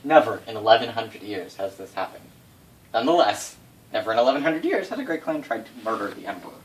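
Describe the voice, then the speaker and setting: A man's voice: on the low side, fast. A man recounting game lore to his players in a casual, off-the-cuff way, swearing freely and stressing words for drama. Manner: sweary, animated, dramatic emphasis. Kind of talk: casual off-the-cuff history telling. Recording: distant mic, noisy room ambience.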